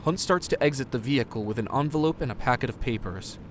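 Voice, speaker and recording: A man's voice: mid-range voice, quick pace, a little hiss or hum. A man narrating an audio drama in scripted, present-tense prose.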